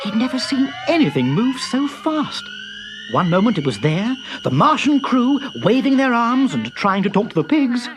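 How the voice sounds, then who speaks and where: A man reading a children's story aloud with lively, expressive narration. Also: very high voice, moderate pace. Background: background music.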